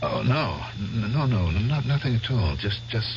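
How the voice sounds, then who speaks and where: An actor in a 1970s radio drama playing a nervous young husband who stammers and dodges questions. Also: male voice, lowish voice, very animated, normal speed. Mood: evasive. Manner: evasive, stammering. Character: nervous young husband hiding his past. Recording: muffled old radio recording with loud hiss.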